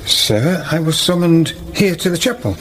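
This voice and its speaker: An actor playing a pious, wrongly accused weaver in a period radio drama, speaking in halting, formal, old-fashioned phrases. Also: male voice, a little high, expressive, a bit bright, a little hiss or hum, poor audio. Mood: pleading, bewildered. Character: Silas Marner, earnest pious weaver, wounded and wrongly accused.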